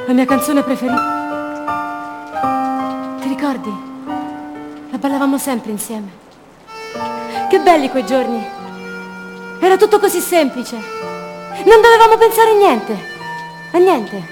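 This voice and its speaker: An actress playing an impulsive, fragile woman madly in love, in an intense, poetic radio theatre monologue full of repeated phrases that swings between rapture and hush. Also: high voice, big pitch swings, slow, pauses often, over loud music, exaggerated voice. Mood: wistful, insistent.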